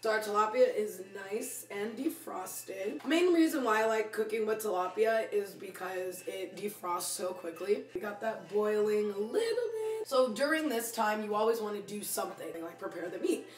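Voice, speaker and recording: A woman's voice: mid-range voice, lively intonation, moderate pace, hardly any pauses, distant mic, soft background music. Young woman cooking at home, goofy slang, kinda worn voice, phone mic in a echoey kitchen. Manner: playful, slangy, adds silly rhyming endings to words. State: losing her voice. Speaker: host of a home cooking vlog. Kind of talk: casual cooking vlog. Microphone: phone camera, close then a few steps away.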